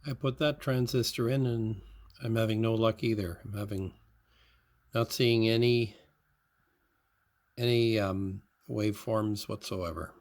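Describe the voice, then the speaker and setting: A man with a fairly low voice talks through hands-on repair work. He explains each step in an unscripted way, thinking aloud in short, halting phrases. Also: fairly even intonation, darkish tone. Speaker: hobbyist radio repairer.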